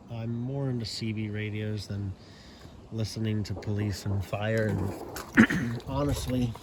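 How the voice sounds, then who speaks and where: An American man talks slowly through a casual show-and-tell, plainspoken and unscripted. He rambles and halts as he goes, with faint noise behind him on an amateur recording. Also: lowish voice. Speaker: hobbyist vlogger showing his CB radio setup. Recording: amateur video with background noise.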